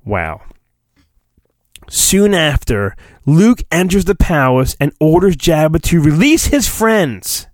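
Animated, slow-paced American man, wisecracking storybook reader. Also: fairly high pitch, clean studio sound. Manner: informal, wisecracking, self-interrupting. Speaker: podcast host. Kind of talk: dramatic storybook reading with joking asides. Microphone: phone. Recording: homemade phone recording, roomy echo, faint music.

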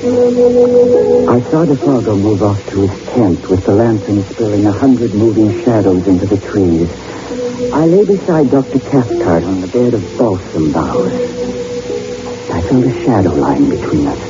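A man's voice: fairly low pitch, big pitch swings, measured pace, over music. An actor playing an anxious, earnest young man on a hunting trip, hesitant and troubled, in an old radio drama. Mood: haunted. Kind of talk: old-time radio drama acting with first-person narration. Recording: muffled, hissy vintage radio drama recording.

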